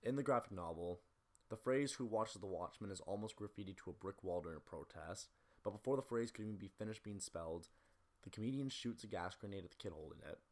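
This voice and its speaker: A man narrates a scripted film analysis in a fairly low voice. He moves along at a rapid clip and sounds critical and opinionated, like a video essayist making a case. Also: background noise.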